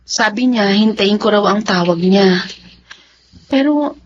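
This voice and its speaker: A woman's voice: mid-range voice, slow pace, close to an everyday voice. An actress playing an anxious, apologetic young Filipino woman in a radio drama, speaking politely and hesitantly. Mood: worried. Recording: dull, noisy recording.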